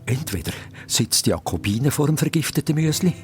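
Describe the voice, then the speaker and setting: A man's voice: medium pitch, big pitch swings, brisk, a little mellow, faint music behind. A man with a Swiss accent narrating a children's radio play as a suspenseful story.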